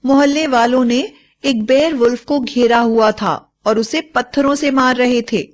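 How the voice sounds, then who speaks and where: A woman's voice: a little high, fairly even intonation, measured pace. A woman narrator reading a scripted bedtime story for children.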